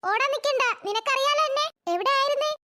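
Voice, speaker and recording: A performer voicing a stern, nagging cartoon mother who scolds children, in a pitched-up voice. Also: fast talker, pushed and bright. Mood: scolding. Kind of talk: cartoon voice acting.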